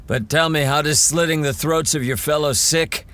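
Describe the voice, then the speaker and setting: An actor playing a wry, seasoned monster hunter who talks calmly and drily. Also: male voice, a little high, lightly acted. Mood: dry. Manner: calm, dry and unhurried, lightly mocking. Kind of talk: audio drama acting.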